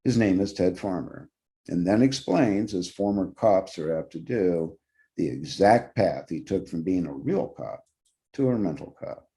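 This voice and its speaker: A man with a very low, dark and mellow voice reads a short story. He narrates in the first person like an actor on stage, measured and dramatic, letting phrases linger. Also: lively intonation.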